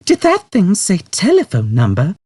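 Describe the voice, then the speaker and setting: Female space traveller, voiced by a man: high, controlled, pointed, patient with an exasperated edge, audiobook. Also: huge pitch swings, normal speed, a little mellow, husky, dry recording, exaggerated voice. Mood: alarmed. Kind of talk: audiobook character voice.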